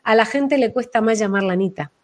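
A woman explaining her own method as a voice practitioner in an expressive, conversational way, illustrating her points as she goes. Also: fairly high pitch, brisk. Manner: expressive, acts out names and quotes to illustrate points. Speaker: voice and name-energy practitioner being interviewed.

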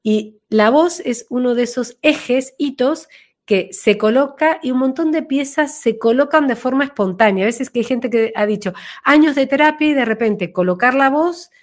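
A woman's voice: high voice, moderate pace. A woman recovering from flu, explaining as an interview guest in a reflective way, repeating phrases for emphasis. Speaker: interview guest, voice work coach.

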